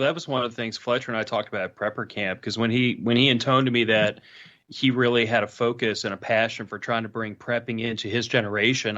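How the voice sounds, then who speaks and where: A man's voice: mid-range voice, a bit bright. A man on a discussion panel, explaining his points earnestly in casual speech and repeating phrases for emphasis. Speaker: panel participant. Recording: slightly dull, lo-fi sound.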